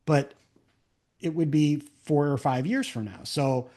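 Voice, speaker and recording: A man's voice: fairly high pitch, unhurried, warm tone. Man hosting a casual podcast, thinking aloud. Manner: thinks aloud in rambling, enthusiastic riffs, often checking with "right?". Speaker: podcast host and interviewer. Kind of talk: casual conversational interview.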